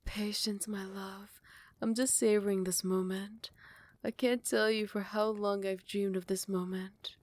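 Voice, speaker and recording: A woman playing a possessive yet tender royal vampire in love, speaking in formal, old-fashioned phrasing with breathy half-whispers in an intimate ASMR roleplay. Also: medium pitch, flat delivery, measured pace, a little mellow, dry recording, close to an everyday voice. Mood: tender, pleading.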